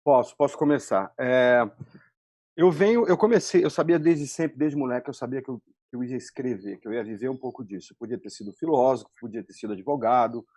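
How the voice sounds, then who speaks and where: A man's voice: mid-range voice, fairly quick, darkish tone, no room sound. A middle-aged or older male writer casually telling a personal account as a panelist.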